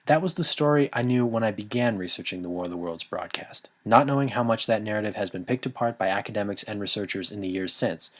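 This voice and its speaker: Young man reading a script, low voice. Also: no echo. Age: young adult. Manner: measured, earnest reading. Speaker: history student narrator. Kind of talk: scripted narration.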